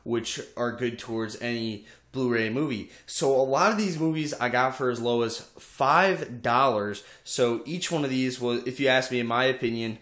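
A man's voice: medium pitch, normal speed, hardly any pauses, a bit bright. A young man with a cold and a stuffy nose, chatting casually with lots of "uh" fillers while showing off his game collection in an echoey room at home. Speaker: video game collector vlogger. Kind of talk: casual show-and-tell.

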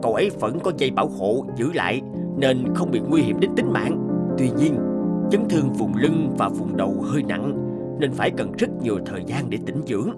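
A man voicing a calm, grave hospital doctor in a cartoon, measured and serious. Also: mid-range voice, loud music behind the voice, close to an everyday voice. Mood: grave, reassuring. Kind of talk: dubbed cartoon acting.

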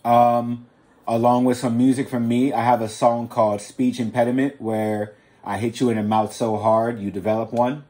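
A man's voice: medium pitch, flat delivery, normal speed, warm tone. A man, a rapper, chatting casually on a podcast in an informal, slangy, anecdotal way. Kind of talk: casual chat.

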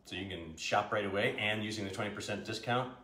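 A man in his fifties co-hosting a live shopping show from his kitchen, joking around, his voice a little deep and scratchy today. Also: quick pace, sharp tone. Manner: jokey, self-mocking, chuckles often. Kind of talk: casual live-stream banter. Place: large home kitchen, indoors. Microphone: camera mic at a distance. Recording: echoey kitchen, faint background noise.

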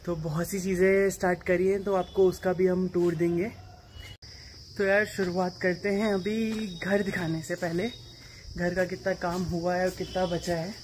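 A man's voice: high-pitched, flat delivery, moderate pace. A man, giving an informal vlog tour, chatting straight to viewers. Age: 20s. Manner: informal, conversational, addressing viewers. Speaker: vlogger. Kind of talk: casual vlog tour narration. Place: home rooms, courtyard and small shop. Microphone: phone at arm's length. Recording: handheld vlog with clear background noise.

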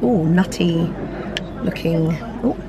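A woman's voice: fairly low pitch, takes it slowly. A middle-aged woman chatting casually about food, recorded by a camera across the table. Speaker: dining guest. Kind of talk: casual chat. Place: busy buffet restaurant. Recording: loud crowded restaurant noise.

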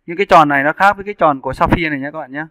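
A man with a clear, bright voice pitched a little high, teaching in a casual tutorial style. He explains each step informally and often checks that his listeners are following. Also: racing, no echo. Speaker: 3D animation instructor. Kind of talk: casual software tutorial narration. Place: indoors, screen-recording voice-over.

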